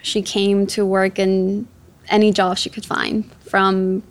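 A woman's voice: medium pitch, little pitch movement, takes it slowly. A woman in her twenties from Ecuador who grew up in Chicago, telling personal stories as an interview guest. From born in Ecuador, raised in Chicago from age seven. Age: 20s. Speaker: interview guest, ocean activist.

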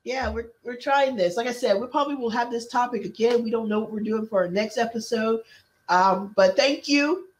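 A woman's voice: a little high, moderate pace. A woman from the American South co-hosting a casual podcast, telling stories animatedly and thanking listeners. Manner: animated storyteller, frequent affirmations. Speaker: podcast co-host. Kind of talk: casual chat.

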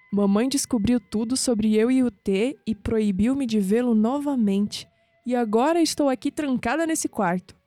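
A woman's voice: fairly high pitch, dark tone, dry recording, lightly acted. An actress playing a curious young Brazilian woman in an audio drama. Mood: earnest, romantic reading. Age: young adult. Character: curious young woman uncovering family secrets, sceptical.

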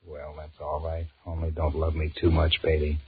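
A restless married businessman in a 1940s radio drama, on a muffled, hissy old recording. His voice is extremely low, and he grumbles and wheedles wearily. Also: completely flat delivery, normal speed. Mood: flirtatious, grumbling. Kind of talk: 1940s radio drama acting, inner monologue and dialogue.

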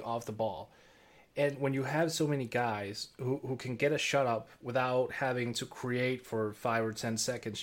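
Halting man breaking down basketball. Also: mid-range voice. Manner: halting, restarts words, chuckles. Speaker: podcast co-host, analyst. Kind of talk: casual sports analysis chat.

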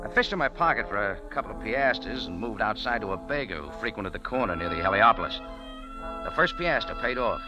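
A man's voice: on the low side, animated, over music, natural voice, poor audio. An actor playing a tough, wry cafe owner who narrates his own adventure in 1940s radio style. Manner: tough, wry, quick wisecracks. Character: hard-boiled cafe owner, wisecracking narrator. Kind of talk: 1940s radio acting and first-person narration.

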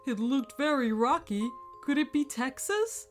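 A woman's voice: high-pitched, animated, measured pace, soft background music. A woman voicing an eager, curious, wide-eyed teddy bear in a children's storybook, given to exclamations.

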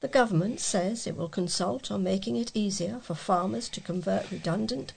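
A woman reading local news aloud as a volunteer newsreader, with a husky, creaky voice. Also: mid-range voice, normal speed, a little mellow.

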